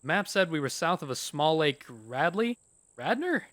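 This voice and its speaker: Man playing an exasperated, bright-voiced young rocker. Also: on the high side, very animated, unhurried, no room sound, clean studio sound. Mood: uneasy. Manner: profane, exasperated slangy talk. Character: young male rock musician, defensive, sarcastic, foul-mouthed. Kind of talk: dramatized horror audiobook dialogue.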